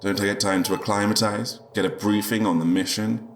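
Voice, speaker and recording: A deep-voiced male secret agent of the spaceways, played by an actor, cocky and world-weary. He speaks with deadpan, sardonic wit, like the lone hero of a noir-tinged sci-fi radio drama. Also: a little fast, a little hiss or hum, close to an everyday voice. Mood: grumbling. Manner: wry, deadpan. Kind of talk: noir-style narration and dialogue in sci-fi audio drama.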